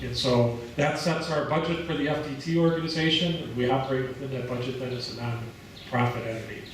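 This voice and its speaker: A man's voice: medium pitch, brisk, slight background noise. American man presenting in an echoey hall. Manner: relaxed explanatory speaking with fillers. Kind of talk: conference presentation. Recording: echoey hall, mic at a distance.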